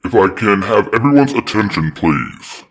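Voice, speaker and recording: A man playing a solemn, commanding otherworldly mentor in a fan fiction audio drama, speaking in a grave, formal, measured way. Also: fairly low pitch, lively intonation. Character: Zordon, solemn commanding mentor being in a tube.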